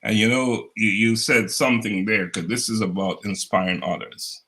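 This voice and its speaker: A man hosting an interview show, speaking in a formal, polished, measured way. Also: fairly low pitch, steady tone, bright, forceful tone. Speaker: host. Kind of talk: scripted interview introduction.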